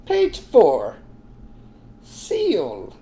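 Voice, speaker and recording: A woman's voice: low-pitched, huge pitch swings, very slow, creaky, dark tone, heavily acted. A woman voicing a cheerful puppet who leads a read-along for young children in an exaggerated, encouraging sing-song. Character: Sebastian, a cheerful puppet reading to kids. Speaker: children's reading teacher.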